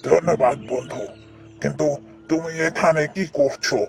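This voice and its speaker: A man's voice: fairly high pitch, fairly even intonation, normal speed, gravelly. A man voicing a suffering but kindly talking banana tree in a fairy-tale cartoon. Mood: grateful, helpful.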